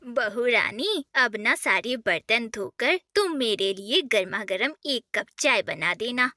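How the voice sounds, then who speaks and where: A bossy old mother-in-law bird scolds and grumbles in a cartoon, voiced by a woman. Her voice is high, lively and bright, delivered slowly and close to the mic. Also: no room sound, heavily acted. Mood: complaining.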